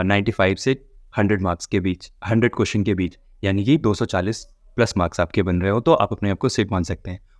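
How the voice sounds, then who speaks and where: A man's voice: on the low side, dry recording, studio quality. A man presenting an informal explanatory update, talking directly to his viewers as he goes through the details. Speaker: exam-update video presenter. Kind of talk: informal explanatory commentary on exam results.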